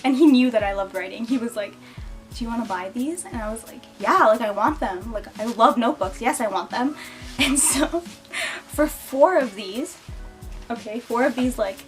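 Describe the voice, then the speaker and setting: A young woman chats to her camera about her childhood, laughing easily and slipping into playful voices for the people she quotes. Her voice sits a little high. Faint music plays underneath. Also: lively intonation, normal speed. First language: English. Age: young adult. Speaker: beauty and lifestyle vlogger. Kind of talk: casual storytelling to camera.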